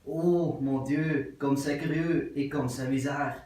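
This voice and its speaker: A man playing a prim English wife who answers with careful, formal politeness, repeating herself in a slow and even way. The voice rings through a very echoey room and reaches the mic from a distance, as in a theatre scene filmed on a camera. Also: on the high side. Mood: politely puzzled. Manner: formal, measured, repetitive politeness. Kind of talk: theatre acting of an absurd play.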